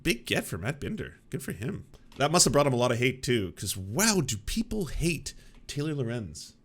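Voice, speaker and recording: Male streamer, mid-pitched voice, mocking and rambly, reacts to clips live. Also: big pitch swings, moderate pace, fairly bright tone, slight background noise. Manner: sarcastic, mocking, rambling with fillers. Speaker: streamer and political commentator.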